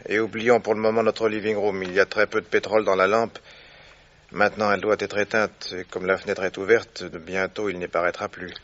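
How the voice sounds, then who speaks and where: An actor playing a keen, courteous gentleman detective in a French radio drama, speaking in a deliberate, theatrical way. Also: on the low side, flat delivery, close to an everyday voice. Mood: assured, explaining. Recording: dull, muffled old radio recording.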